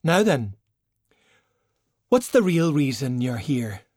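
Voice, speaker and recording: A man's voice: medium pitch, very animated, slow, a little mellow, no room sound, clean studio sound, pitched above the performer's own voice. A sly, self-regarding woman mage performed by a male audiobook reader. The voice sits raised and moves theatrically, with an arch, drawling poise. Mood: poised, probing.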